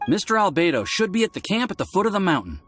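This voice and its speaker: A man's voice: high voice, sing-song, fast, a bit bright, faint music behind. A man voicing a flustered, discouraged young alchemist's assistant in a video game, hesitant and apologetic. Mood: resigned. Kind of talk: video game character acting.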